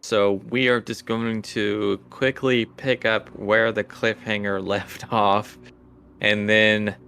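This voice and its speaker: A man's voice: on the low side, gravelly, sharp tone, smooth. A man chatting casually and narrating as game master of a tabletop podcast.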